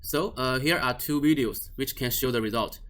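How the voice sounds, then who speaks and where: Man calmly talking through a software setup. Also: mid-range voice, moderate pace. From Australia or New Zealand region office. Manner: plain step-by-step explaining with frequent restarts and "uh"s, non-native phrasing. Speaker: product trainer. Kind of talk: screen-recorded software tutorial.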